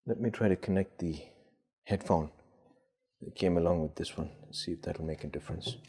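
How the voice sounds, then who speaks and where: A man with a low, dark and slightly husky voice talks through a casual hands-on demo. He sounds hesitant and unscripted, as if thinking aloud while he works. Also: brisk. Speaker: gadget collector and video host.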